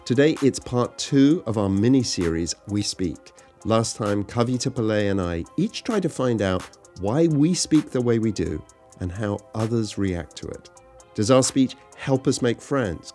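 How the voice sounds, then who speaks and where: A man hosting a podcast, reading scripted narration and asking curious, gentle questions. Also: mid-range voice. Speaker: podcast host.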